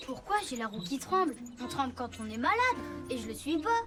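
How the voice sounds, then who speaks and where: A woman playing a plucky, eager young boy rescue motorbike in a French children's cartoon, with an energetic, exclamatory delivery. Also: high voice, brisk. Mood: worried. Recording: loud music behind the voice.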